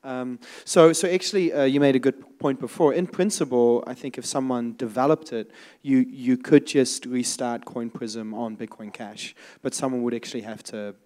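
A man's voice: medium pitch, fairly even intonation, moderate pace, darkish tone. A man giving his opinions in an informal panel discussion, hesitating and repeating words as he measures his points. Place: conference room with seated audience.

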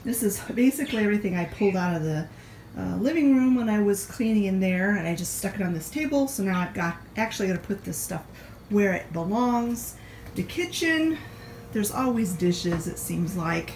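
A woman's voice: medium pitch, animated, moderate pace, a little mellow, low-quality recording. Middle-aged woman, home vlog, chatty, phone camera indoors, faint room hum. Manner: chatty, explains as she works, frequent restarts. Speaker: home vlogger. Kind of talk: casual cleaning vlog narration. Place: country house, indoors, rooms with plants and a kitchen. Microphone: handheld phone camera.

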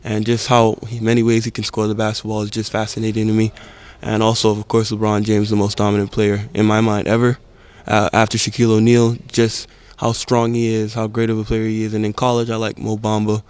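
Calm young man from the Bahamas. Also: lowish voice, fairly quick, smooth. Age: teens. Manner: calm, even-tempered answers. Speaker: basketball prospect. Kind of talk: post-game press interview. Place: indoor camp media backdrop. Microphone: handheld reporter mic held close.

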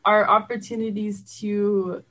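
A woman's voice: mid-range voice, level tone, unhurried, a bit bright. A woman speaking casually and conversationally as a guest in an interview.

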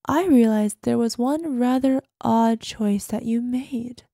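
Obsessive girlfriend, acted by a woman, high-pitched, sweetly menacing, whispery drops, ASMR roleplay, close dry sound. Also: takes it slowly, warm tone, no echo. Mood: tense, sardonic. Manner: breathy asides, drops into whispers, syrupy pet names. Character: obsessive possessive girlfriend, sweetly menacing, panicking over missing lover. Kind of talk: ASMR roleplay voicemail monologue.

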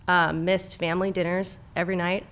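Guest, young woman from Southern California, slightly low voice, chatty and open with lots of "um" and "like". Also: flat delivery, fairly quick, a bit bright, clear, resonant tone. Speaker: clinical director, therapist, guest. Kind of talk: casual interview, personal story. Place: studio with brick wall. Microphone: studio mic on boom arm, headphones.

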